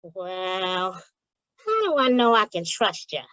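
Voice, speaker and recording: A woman's voice: lowish voice, very animated, slow pace, fairly bright tone, no echo, heavily acted. Woman playing a dull-sounding, streetwise underworld informant. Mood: sly, wary. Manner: slangy, wisecracking gangland talk. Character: streetwise, wary underworld informant named Piggy. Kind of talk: old-time radio crime comedy acting.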